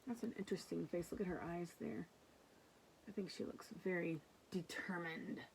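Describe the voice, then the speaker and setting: A woman reading a children's picture book aloud in an expressive way, with casual asides. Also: on the low side, gravelly, slight background noise, rough recording. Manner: animated, unhurried narration. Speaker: storybook reader.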